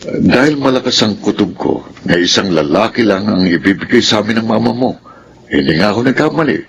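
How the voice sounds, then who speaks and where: A caring naggy filipino dad in a radio play, pretty deep voice, bit of background noise. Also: male voice, animated, brisk, gravelly. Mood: coaxing, fatherly. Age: middle-aged. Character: doting, gently nagging middle-aged father of a grown son. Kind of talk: radio drama acting.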